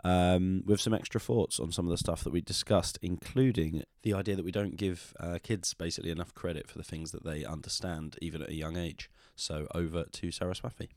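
A man's voice: deep voice, fairly even intonation, a little fast, hardly any pauses, a little mellow, no room sound, studio quality. A British man presenting a podcast as host in a conversational style.

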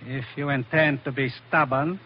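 An actor playing a menacing foreign henchman with formal, deliberate politeness in a 1940s radio adventure. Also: medium pitch, steady tone, lightly acted. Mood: cold, cruel. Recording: dull, noisy old radio recording.

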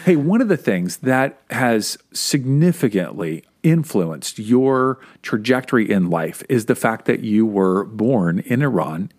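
A man with a somewhat low voice interviews his guest on a podcast. He opens the show and asks questions in an upbeat, encouraging tone. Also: a little mellow.

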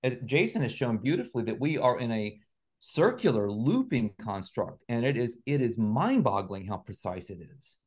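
A man's voice: mid-range voice, very animated, moderate pace, a little mellow. A man talks as a guest in a conversational interview. He lays out abstract ideas in an assertive, articulate manner, stressing his points as he goes. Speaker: interview guest, writer and researcher. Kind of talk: conversational exposition of spiritual and speculative ideas.

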